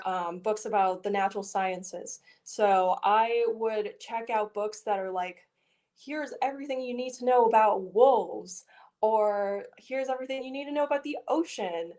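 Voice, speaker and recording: A woman's voice: medium pitch, darkish tone. A young woman from Virginia, a graduate student guest, answering casually with lots of "um" and "like". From Richmond, Virginia, USA. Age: young adult. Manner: chatty, many ums and likes. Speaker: guest, PhD candidate.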